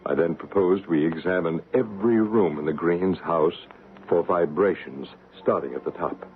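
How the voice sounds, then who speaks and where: An actor playing a solemn, deliberate male paranormal investigator who narrates and acts in an old radio mystery drama. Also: low voice, lightly acted. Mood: solemn narration. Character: solemn male paranormal investigator and ghost hunter named Bryce. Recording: noisy, phone-like old radio broadcast recording.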